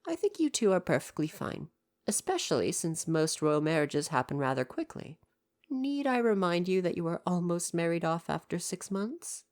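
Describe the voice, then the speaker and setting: A woman's voice: on the low side, sing-song, moderate pace, darkish tone. A woman playing a devoted royal butler in an ASMR roleplay, speaking in a formal, deferential and precise way with parental care. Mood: attentive, fond.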